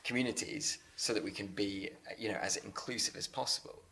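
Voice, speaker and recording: A man in a conversational interview, speaking earnestly and haltingly, often repeating words as he works through a thought. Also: fairly low pitch, pushed and bright. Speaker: interviewer and business leader.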